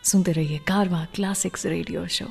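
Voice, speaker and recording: A woman's voice: lowish voice, fairly even intonation, brisk, vocal fry, slightly rough. A woman presenting a music radio show, speaking conversationally and directly to her listeners. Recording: long reverb, music playing behind. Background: background music.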